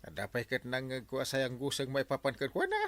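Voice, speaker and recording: An actor with an Ilocano accent playing a fretful, excitable village captain in a radio comedy drama, exclaiming and grumbling. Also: male voice, medium pitch, normal speed. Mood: exasperated. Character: fretful, excitable barangay captain, comically exasperated man.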